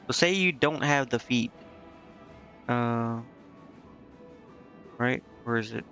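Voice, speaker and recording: A man's voice: medium pitch, fairly bright tone. A man gives casual commentary while playing a video game, half talking to himself. His delivery is hesitant and exploratory. The mic sounds a little muffled, and music plays behind him. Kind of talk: casual gameplay commentary, thinking aloud.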